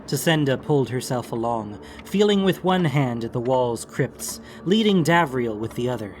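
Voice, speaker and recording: A man giving measured, theatrical narration of a fantasy audiobook. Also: mid-range voice, animated, slight background noise.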